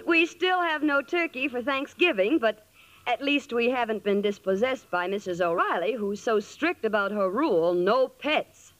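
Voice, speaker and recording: A woman's voice: a little high, expressive, moderate pace, dry recording, dull audio, soft background music, close to an everyday voice. An actress playing a sensible, wry young woman narrating a 1940s radio sitcom with affection. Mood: wry, affectionate. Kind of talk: 1940s radio comedy acting.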